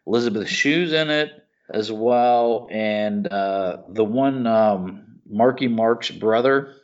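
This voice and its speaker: A man's voice: fairly low pitch, takes it slowly. American guy on a podcast, blunt and opinionated, slangy chat, kinda muffled lo-fi audio. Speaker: podcast co-host. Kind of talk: casual chat about movies and TV.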